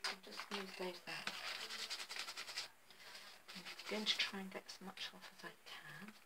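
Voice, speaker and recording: A woman's voice: mid-range voice, fairly even intonation, a little slow, very noisy. A middle-aged woman giving a casual craft tutorial, thinking aloud with many ums while she paints at a table, recorded on a phone. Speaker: artist demonstrating garden ornament painting. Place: indoor work table.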